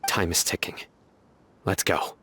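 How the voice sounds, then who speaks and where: An actor playing a stern young judge in a game, speaking in a measured, solemn and resolute way. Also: male voice, sharp tone, a little hiss or hum. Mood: grave. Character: stern young desert judge, calm and grave. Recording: game cutscene over clear music.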